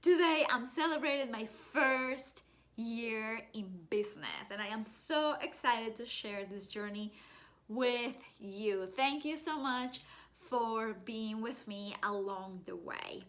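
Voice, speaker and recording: Slow, bright-voiced Colombian woman. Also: fairly high pitch. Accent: Colombian Spanish. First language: Spanish. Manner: upbeat, chatty, addresses viewers directly. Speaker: digital marketing business owner. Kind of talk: personal story vlog to camera.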